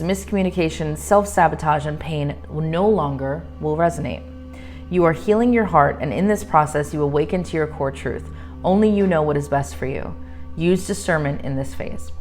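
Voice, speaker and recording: A woman with a low, deep voice reads tarot card messages aloud, solemn while reading and chatty between cards. She talks into a microphone, with faint music playing underneath. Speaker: tarot reader.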